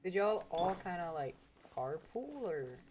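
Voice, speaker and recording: A woman's voice: on the low side, big pitch swings, measured pace. A woman streaming a game and chatting casually, blunt and sweary. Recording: noisy home streaming audio.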